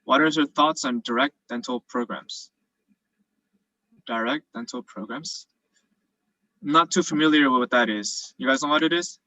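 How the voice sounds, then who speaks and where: Young guy from south korea, dental student, bright voice, dry sound, explaining stuff with lots of uhs. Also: mid-range voice, normal speed, long pauses, no room sound, muffled recording. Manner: casual, explanatory, frequent fillers. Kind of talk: virtual presentation and Q&A. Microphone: video call.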